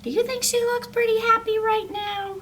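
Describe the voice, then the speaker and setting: A woman reading a picture book aloud to children, animated and pausing to ask her young listeners questions. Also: very high-pitched, far from the mic, a little hiss or hum. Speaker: story reader.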